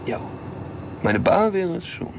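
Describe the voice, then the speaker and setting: Gravelly, cynical small-time dealer. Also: male voice, sits high, big pitch swings, a little slow, noisy background, full character voice. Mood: weary, laconic. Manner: laconic, sardonic, slangy. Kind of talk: German crime radio drama acting.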